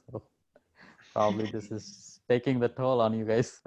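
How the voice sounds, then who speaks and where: A man's voice: lowish voice, fairly even intonation, takes it slowly, darkish tone. A male university lecturer chatting casually with his students on a video call, easygoing, chuckling and repeating "yeah". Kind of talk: casual chat with students before an online lecture. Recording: muffled video call audio.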